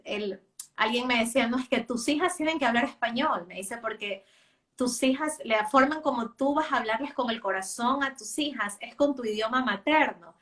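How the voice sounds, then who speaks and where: A woman's voice: fairly high pitch, moderate pace, a bit bright. Woman, native spanish speaker, homeschooling mother, casual interview talk, hesitant, distant mic. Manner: hesitant, many fillers and restarts.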